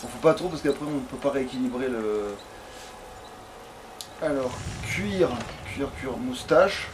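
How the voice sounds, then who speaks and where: A man's voice: mid-range voice, unhurried. A man doing casual let's play commentary, slangy and sweary, thinking aloud as he plays. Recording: noisy home gaming capture.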